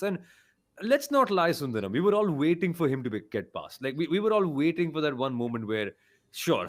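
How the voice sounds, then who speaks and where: A man hosting a casual motorsport podcast, making his points emphatically and reading out listener comments. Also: on the high side. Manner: emphatic, repeats points for weight.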